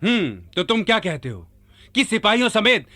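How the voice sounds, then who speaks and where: A man's voice: sits high, sing-song, moderate pace, pushed and bright, full character voice. A man acting a brave, declamatory army commander in a radio drama. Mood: satisfied.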